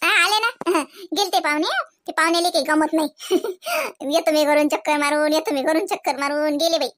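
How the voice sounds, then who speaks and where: Village housewife for a comedy cartoon , played by a woman: tiny, very high, bright voice, Varhadi Marathi accent, gossipy and full of complaints. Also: moderate pace, dry recording, clean studio sound. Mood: resigned, chatty. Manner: chatty, gossipy, colloquial. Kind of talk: comic cartoon village dialogue.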